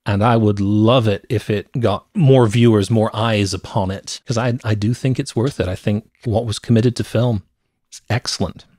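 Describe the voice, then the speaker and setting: A man's voice: fairly low pitch, fairly even intonation, normal speed, darkish tone, no room sound. A middle-aged man co-hosting a movie podcast, chatting casually about films into a close microphone. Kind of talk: casual film review chat. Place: cluttered room at home. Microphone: close podcast microphone on a stand.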